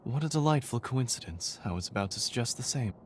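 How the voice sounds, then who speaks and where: An actor playing a courteous, unflappable male researcher in a video game, speaking in a polite, measured, genteel manner. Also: medium pitch, animated, a little hiss or hum, lightly acted. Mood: polite, unruffled. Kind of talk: video game cutscene acting.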